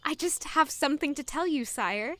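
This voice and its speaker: Young court lady woman acting, highish voice, earnest, fantasy audio drama. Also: lively intonation, normal speed, fairly bright tone. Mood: bright, eager. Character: earnest young lady-in-waiting with a secret past. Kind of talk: audio drama dialogue and inner monologue.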